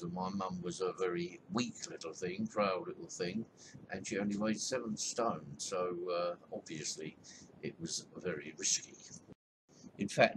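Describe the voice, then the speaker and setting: A man around eighty narrating his own life story in a chatty, rambling way, with many ums and soft chuckles. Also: deep voice, a little hiss or hum, poor audio. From England. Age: about 80. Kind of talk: reminiscing voice-over narration.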